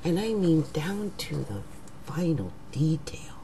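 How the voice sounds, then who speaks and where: A middle-aged woman speaks in a rough, raggedy voice, low and mellow, with a gravelly edge. She talks unhurriedly to a webcam at home, often trailing into near-whispers. A faint hum sits behind her. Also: very animated, poor audio. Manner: slow, musing, trails into whispers. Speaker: astrologer. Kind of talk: casual monologue to webcam. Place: living room at home. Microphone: webcam at desk.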